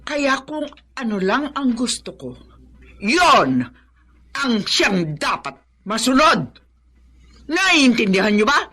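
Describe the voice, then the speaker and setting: A woman's voice: fairly high pitch, very animated, slow, pauses often, bright, forceful tone, husky, full character voice. Scheming, theatrical Filipino stepmother villainess. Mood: imperious, menacing. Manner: imperious, falsely sweet, theatrical. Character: scheming, domineering villainous stepmother and lady of the house. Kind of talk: Filipino radio drama acting.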